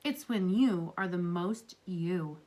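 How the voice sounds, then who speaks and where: A woman's voice: on the low side, takes it slowly, warm tone, a little hiss or hum. A woman reading the part of Day, a kind sister spirit of daytime in a storybook, in an earnest, comforting manner. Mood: reassuring.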